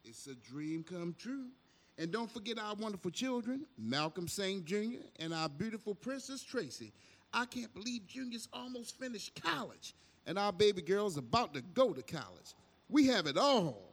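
Man playing showy, high-pitched megachurch pastor. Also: very animated, moderate pace, full character voice. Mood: proud. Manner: folksy, showy, affectionate pet names. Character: boastful, image-obsessed megachurch pastor and doting father. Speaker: actor in an audio drama. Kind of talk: audio drama acting.

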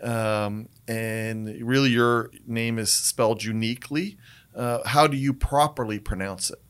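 A man's voice: mid-range voice, steady tone, measured pace, clear, resonant tone. A man hosting a casual interview who rambles through his questions with many fillers and restarts. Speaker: host.

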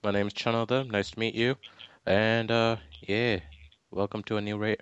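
A man from Sri Lanka, raised in the US, chatting as a podcast co-host in short, relaxed remarks. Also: on the low side, moderate pace, clean tone, no room sound, lo-fi sound. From born in Sri Lanka, raised in US. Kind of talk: casual podcast chat.

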